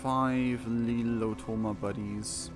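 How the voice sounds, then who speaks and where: Slow-paced man commenting over game music. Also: medium pitch, fairly even intonation. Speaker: gaming video host. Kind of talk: casual game playthrough commentary, reading quest text aloud. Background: video game music.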